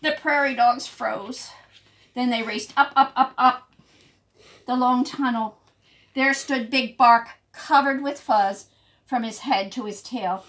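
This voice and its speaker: A woman teacher reading a picture book aloud to young children, emphatic, pausing to explain and prompt. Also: on the high side, a bit bright. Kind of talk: expressive storybook read-aloud for young children.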